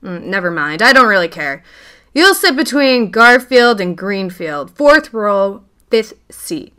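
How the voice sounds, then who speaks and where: A woman's voice: medium pitch, sing-song, measured pace, smooth. A woman playing a strict, impatient, mocking schoolteacher in a family radio play, with a haughty, scolding delivery. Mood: brisk, dismissive. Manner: theatrical scolding, haughty and sharp.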